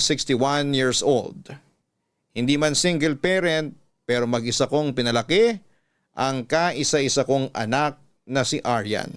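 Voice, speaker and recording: A man with a Filipino accent narrating scripted, emotional stories in a classic radio style, measured and heartfelt with dramatic pauses. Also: fairly high pitch, clean tone. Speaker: radio storyteller and host. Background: soft background music.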